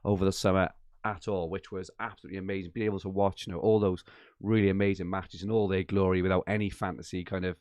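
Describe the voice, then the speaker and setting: A man hosting a live fantasy football podcast from his attic, chatty and enthusiastic with lots of fillers, his voice a little croaky from a late night. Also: deep voice, flat delivery, fast talker, a little mellow, no echo. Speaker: podcast host. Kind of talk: live-stream podcast chat. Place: home attic.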